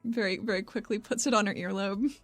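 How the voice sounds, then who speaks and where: A woman's voice: mid-range voice, fairly even intonation, fairly quick, no room sound. A woman improvising her character's actions in a tabletop roleplaying game, in an informal, giggly way. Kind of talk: casual improvised roleplay narration. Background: background music.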